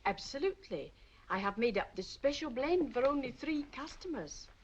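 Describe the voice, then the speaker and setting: A woman's voice: sits high, very animated, normal speed, a little hiss or hum. A woman playing a fussy, precise tobacconist in an old radio drama, prim and proud of every detail. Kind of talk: 1940s radio acting.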